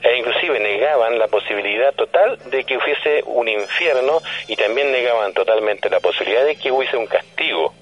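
A man with a Chilean accent explaining things conversationally as a radio guest, didactic and halting, often checking that he is being followed. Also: mid-range voice, animated. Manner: didactic, halting, frequently seeks confirmation. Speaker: radio guest on paranormal topics. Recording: dull, band-limited phone or radio line.

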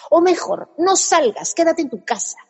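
An actress playing a blunt, sarcastic woman therapist who is also a friend, in a radio comedy, sharp-tongued. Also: extremely high pitch, very animated, normal speed, long reverb tail. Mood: scolding.

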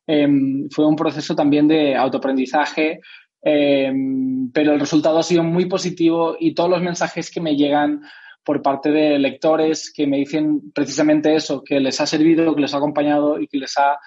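Dull-sounding young man, higher-pitched voice. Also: darkish tone. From Spain. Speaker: interviewed author. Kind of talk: reflective interview conversation. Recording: dull, lo-fi audio.

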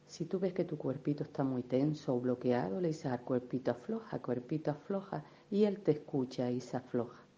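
A woman leading a guided meditation in a gentle, unhurried, soothing manner, with affectionate little diminutives. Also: very deep voice, dull audio. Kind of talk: guided meditation, soft instruction.

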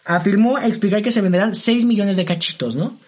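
A young man with a Mexican accent talks in a casual, slangy way, with lots of fillers and indignant asides. His voice sits high for a man. He sounds like he is chatting with friends rather than presenting. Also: fairly quick. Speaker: co-host. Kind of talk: casual chat.

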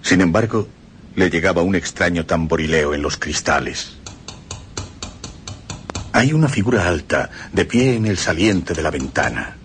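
A man narrating a horror tale for radio drama in an expressive way, swelling on the frightening passages. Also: low voice, a little slow, long pauses, a little hiss or hum.

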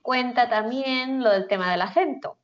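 A woman's voice: on the high side, normal speed. Brief: woman co-host, chatty, frequent laughs, dull lo-fi recording. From Philippines. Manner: chatty, laughs often, many fillers. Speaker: podcast co-host. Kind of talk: casual chat.

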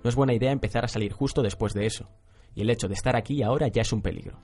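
A man's voice: medium pitch, racing, darkish tone, soft background music, natural voice. An actor playing a cool, calculating male student in a radio drama, politely controlling.

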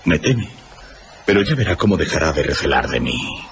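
A man's voice: rumbling low, big pitch swings, normal speed, exaggerated voice. An actor playing an ominous, confiding man who smells of wolf and unsettles dogs, in a radio drama.

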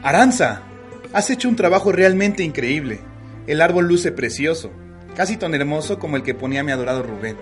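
A man playing an affectionate, earnest gentleman husband in a radio soap, with a Mexican accent. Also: a little high, animated, fairly quick, soft background music, close to an everyday voice. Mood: pleased. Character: devoted, tender husband, well-off gentleman. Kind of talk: radio soap opera acting.

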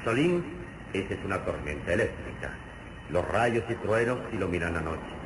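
A very low-voiced hero of an old radio adventure serial, solemn and all-knowing, explaining things deliberately with sweeping intonation. The recording is old and noisy. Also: male voice, animated. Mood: solemn, confident. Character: calm, all-knowing heroic male adventurer, mentor to a boy. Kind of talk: vintage Mexican radio serial acting.

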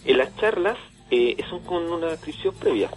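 A Chilean man being interviewed on radio, explaining things conversationally with halting, repeated words. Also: fairly high pitch, expressive, low-quality recording. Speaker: interview guest, event organiser. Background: background music.

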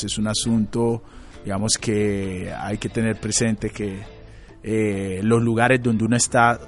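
Halting low-voiced Colombian man. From Colombia, Chocó. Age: late 30s. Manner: thoughtful, halting, many fillers and restarts. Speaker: radio guest, psychologist. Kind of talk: reflective interview answers.

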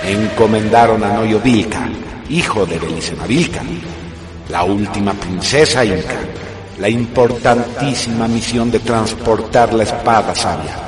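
A man's voice: lowish voice, measured pace, a crowd in the background. A man narrating a radio drama in a solemn, grandiose scripted style with dramatic emphasis. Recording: music behind the voice. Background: music.

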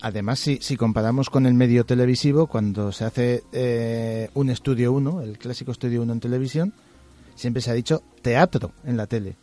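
A man's voice: mid-range voice, normal speed, mellow, soft background music. A man from chatting without a script as a radio presenter. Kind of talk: unscripted radio chat.

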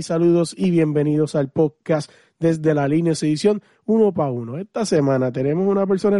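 Mellow-voiced Puerto Rican man hosting. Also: a little high, no echo, clean studio sound. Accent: Puerto Rican Spanish. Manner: informal, colloquial greetings and address. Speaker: podcast host. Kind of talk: casual podcast interview.

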